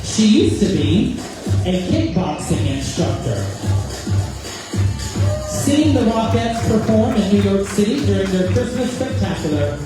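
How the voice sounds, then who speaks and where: A man's voice: high-pitched, sing-song, unhurried. A man co-hosting a live pageant, reading contestant fun facts and leading the crowd in upbeat call-and-response. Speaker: co-host reading contestant bios. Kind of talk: live stage emceeing. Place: large indoor event hall. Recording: echoey hall, distant mic, music behind.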